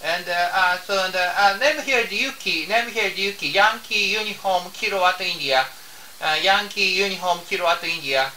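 A man from Japan with a Japanese accent, making a ham radio contact in halting, repetitive English and spelling out call signs. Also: high voice, bright, forceful tone, far from the mic. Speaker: amateur radio operator. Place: small home radio room. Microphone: desk radio microphone, camera picking up the room. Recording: roomy, off-mic, with faint hiss.

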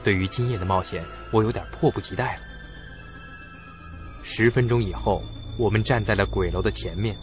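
A man with a fairly low voice narrates a scripted suspense story as a radio drama. Prominent music plays beneath his narration. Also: normal speed.

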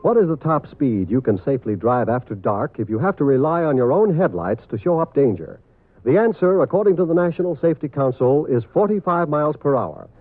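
A man's voice: fairly high pitch, very animated, a little fast, soft background music. A man announcing in a scripted 1950s radio public service style. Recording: tinny vintage radio broadcast recording.

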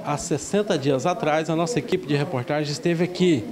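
A man's voice: fairly high pitch, a little fast, a crowd in the background. A man with a Brazilian accent reading a scripted news report as a reporter.

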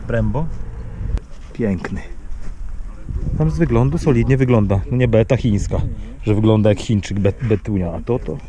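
A man's voice: lowish voice, moderate pace, long pauses. A man chatting enthusiastically to viewers while riding a dirt bike outdoors, recorded on a helmet camera. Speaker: enduro vlogger. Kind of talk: casual ride commentary. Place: outdoors on dirt tracks and fields, riding a motorbike. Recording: loud engine and wind noise.

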